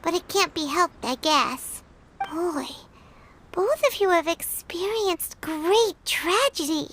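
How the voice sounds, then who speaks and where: Game sidekick: tiny childlike girl fairy, extremely high, very animated, unhurried, earnest. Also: full character voice. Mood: disappointed, sympathetic. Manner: childlike, simple, refers to self by name. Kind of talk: video game story dialogue.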